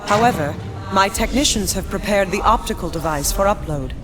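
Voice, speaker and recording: An actress playing a composed, resolute princess in a science fiction video game, speaking formally and with dignity. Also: female voice, mid-range voice, animated, fairly quick, close to an everyday voice. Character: regal female alien-war princess, composed and resolute. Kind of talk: video game mission dialogue. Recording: loud game music behind.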